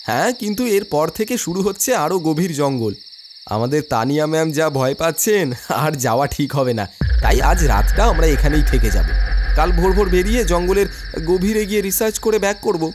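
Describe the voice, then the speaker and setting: A man voicing a bold, insistent young male student leader in an animated horror story. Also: on the high side, fast, noisy background, natural voice. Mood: jovial, assured.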